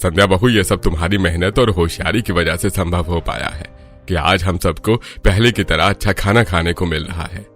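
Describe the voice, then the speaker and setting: A man's voice: deep voice, moderate pace, fairly bright tone, faint music behind. A man voicing an elderly, kindly father-in-law in a cartoon family story. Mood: warm, pleased. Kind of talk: Hindi cartoon story dialogue.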